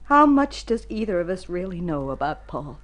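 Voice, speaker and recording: An actress playing an anxious, distressed wife in mid-century radio drama, earnest and emotional. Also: female voice, lowish voice, sing-song, normal speed, fairly bright tone. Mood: uneasy.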